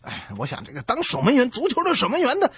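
A man's voice: very high-pitched, huge pitch swings, fast talker, a bit bright, husky, no room sound. A man co-hosting a comedy radio show, telling jokes in back-and-forth banter. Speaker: comedy radio co-host, joke teller. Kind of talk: comic two-person banter and joke telling.

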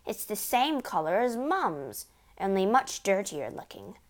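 A woman's voice: mid-range voice, sing-song, unhurried. A woman voicing a blunt, exclaiming young son in a storybook. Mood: accusing. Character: startled, tactless young son.

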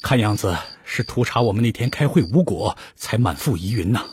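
An actor playing a watchful, authoritative leader of a secret revolutionary meeting in a Chinese radio drama, measured and sober. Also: male voice, fairly low pitch, expressive, darkish tone, a little hiss or hum, lightly acted.

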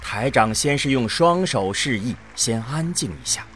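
A man narrates an audio drama at a slow, measured pace. His mid-pitched voice moves animatedly through the scripted story, with faint music underneath. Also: expressive, a bit bright.

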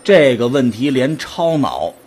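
A man's voice: mid-range voice, very animated, unhurried, darkish tone, slightly rough. A man playing a half-drunk, cocky engineer in a radio drama. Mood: triumphant. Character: half-drunk engineer crowing about a bet. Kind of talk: scripted radio drama acting.

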